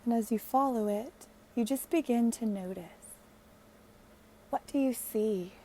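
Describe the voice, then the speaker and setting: A woman guides a meditation in a mellow, relaxed voice. She speaks very slowly and gently, in a hushed, soothing way with long pauses between phrases. There is a faint noise behind her. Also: on the high side.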